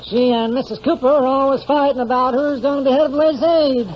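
An actor playing a dour, gossipy small-town wagon driver in an old radio drama, with a laconic rural American drawl. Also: male voice, very high-pitched, steady tone, fast. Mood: dryly gossipy. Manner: laconic drawl, hemming before he answers. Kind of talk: 1940s radio drama acting. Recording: dull, noisy old radio recording.

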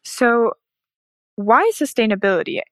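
A woman's voice: on the high side, animated, a little slow, a bit bright, slight background noise. A woman hosting a podcast, interviewing a guest in an articulate, polite and upbeat conversational way. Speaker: podcast host.

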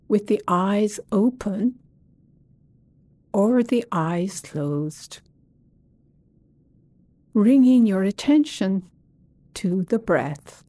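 Woman, guided meditation, soft dark tone, long unhurried pauses, close dry recording. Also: medium pitch, dry recording. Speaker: meditation teacher. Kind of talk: guided body scan meditation.

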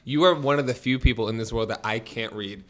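A man's voice: mid-range voice, quick pace, a bit bright. A man co-hosting a casual podcast, teasing his friend and laughing often. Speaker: podcast co-host. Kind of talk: casual chat.

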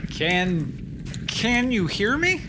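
An actor playing a vain, needy American news anchor who sounds self-important and defensive. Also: male voice, high-pitched, very animated, extremely slow, noisy background, full character voice. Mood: irritated.

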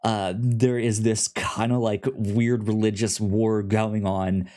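A man co-hosting a podcast in casual chat, recapping a story in a halting way, often repeating words and syllables. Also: fairly low pitch, flat delivery, dark tone. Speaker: podcast co-host.

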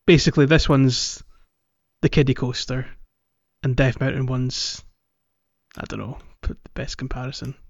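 A man hosting a gaming podcast in casual chat, with hesitant, halting phrasing. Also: medium pitch, steady tone, gravelly. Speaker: podcast host. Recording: roomy echo, slightly dull sound.

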